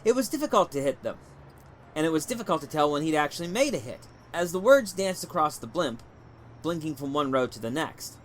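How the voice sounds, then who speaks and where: A man reading a short story as scripted narration, in a measured, dramatic storytelling manner. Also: a little high, sing-song, slight background noise. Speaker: story reader.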